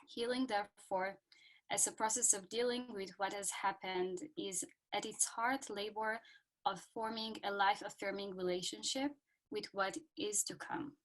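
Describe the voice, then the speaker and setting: Israeli woman on a video call, slow hesitant reflective talk, lots of ums. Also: mid-range voice, fairly even intonation, fairly bright tone, poor audio. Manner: hesitant, many ums, reads a quote aloud. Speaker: guest speaker.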